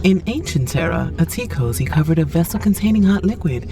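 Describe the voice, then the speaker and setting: An actor playing a calm, knowledgeable crew member in a science fiction audio drama, speaking formally. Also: medium pitch, creaky, distant mic, faint music behind. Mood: calm, wry. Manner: measured, formal, with some Spanish phrases.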